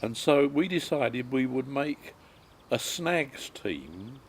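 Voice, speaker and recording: Elderly man in his 90s, slow unhurried reminiscing, faint background hiss. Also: mid-range voice, expressive. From England. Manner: unhurried, halting with many ums and restarts. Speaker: interviewee. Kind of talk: reminiscing life story.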